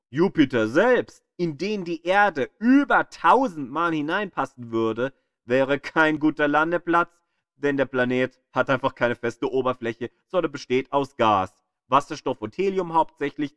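Slow, animated male narrator, dramatic emphasis. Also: a little high, no room sound, clean studio sound. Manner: dramatic emphasis with drawn-out key words. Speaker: narrator of an astronomy audio guide. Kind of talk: scripted educational narration.

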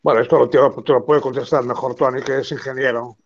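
Firm host reading viewer questions. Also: male voice, mid-range voice, fairly even intonation, fast. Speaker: host of a debate show. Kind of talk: live debate on a video call. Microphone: video call. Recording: dull video call audio.

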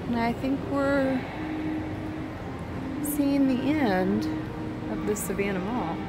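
Slow-spoken woman vlogger, wistful and musing, phone mic in an empty mall, music bed. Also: on the high side, low-quality recording. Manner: wistful, musing aloud with soft hums. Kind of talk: walking tour vlog. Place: inside an empty shopping mall. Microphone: phone camera held while walking.

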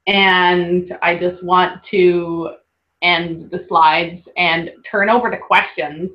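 A woman's voice: on the low side, fairly even intonation, slow pace, dull audio. A woman hosting an online workshop, chatting casually through slides with lots of laughs. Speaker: workshop host presenting slides. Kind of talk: casual webinar presentation.

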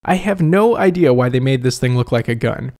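Male review host, conversational scripted narration, wry, slightly gravelly. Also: medium pitch, animated, a little fast, a little mellow.

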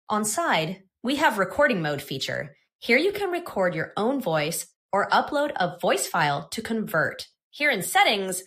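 A woman presenting a software tutorial in scripted, instructional, step-by-step narration. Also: medium pitch.

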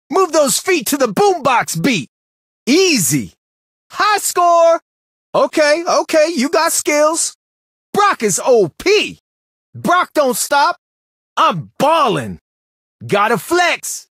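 A man's voice: very high voice, sharp tone, slightly rough, no echo, studio quality, full character voice. A young man voicing a cocky, hyped young brawler from a video game, brash and exclamatory in slangy short lines. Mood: cocky, boastful.

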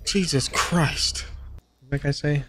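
A young man chatting casually over a game, crude, joking and excitable. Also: on the high side, takes it slowly, a little hiss or hum. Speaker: gamer, video creator. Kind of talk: casual gaming chat.

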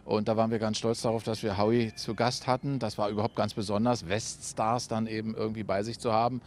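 A man's voice: fairly low pitch, little pitch movement, fast talker, a little hiss or hum. A man who used to present television, telling an anecdote in a video greeting. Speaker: former TV presenter. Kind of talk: video tribute message.

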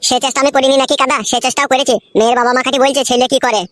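A man's voice: extremely high pitch, level tone, very fast, fairly bright tone, dry recording, clean studio sound. A man voicing a brash, foul-mouthed young cartoon villager with a rural West Bengal accent, grumbling in crude comic banter. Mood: griping.

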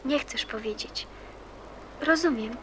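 Woman playing a high-voiced stubborn cheerful teen girl in a radio play, asks stuff very earnestly, noisy background. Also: takes it slowly. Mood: concerned. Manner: earnest, inquisitive, plain-spoken. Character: eager, frank teenage girl, cheerful and stubborn. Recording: noticeable background noise and ambience.

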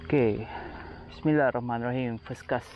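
A man with a Kelantanese Malay accent chatting casually through a fishing vlog, with quick excited exclamations, filmed outdoors on a riverbank with a body-worn action camera. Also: medium pitch, highs cut off, noisy background. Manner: chatty, excited exclamations while reeling fish. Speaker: fishing vlogger. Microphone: chest- or head-mounted action camera.

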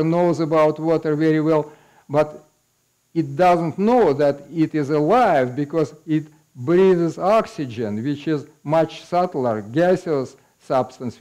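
An older man with a Russian accent lectures slowly to a small room, speaking through a headset mic. His voice sits a bit high but sounds dark and mellow, and he explains things patiently. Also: low-quality recording. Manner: unhurried, explanatory, with occasional laughs. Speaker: guest lecturer. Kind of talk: public lecture. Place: small meeting room with audience.

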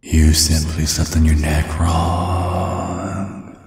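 Man acting a very deep, menacing vampire father. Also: flat delivery, darkish tone, breathy edge, no room sound, full character voice. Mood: hushed, commanding. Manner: measured, politely threatening, formal. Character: menacing, courtly vampire father, centuries old, protective. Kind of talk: ASMR roleplay acting.

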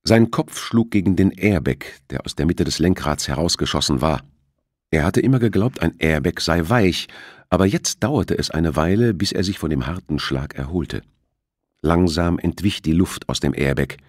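Very deep, husky male narrator. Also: moderate pace, dry recording, clean studio sound. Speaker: audiobook narrator. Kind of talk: scripted thriller novel narration.

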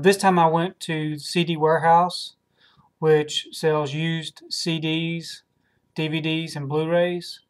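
Thirties male home video host, hesitant collector, monotone delivery, desk webcam sound. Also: fairly high pitch. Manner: hesitant, many ums and repeated words. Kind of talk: casual haul video. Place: home room with shelves of films.

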